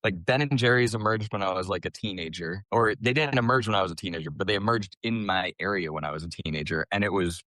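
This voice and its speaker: A man from the US East Coast tells personal stories as a podcast guest. His lowish voice is chatty and colloquial, peppered with "like", and recorded close and dry. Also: fast talker, few pauses, bright, forceful tone, no room sound. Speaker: podcast guest, small business owner. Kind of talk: casual personal storytelling.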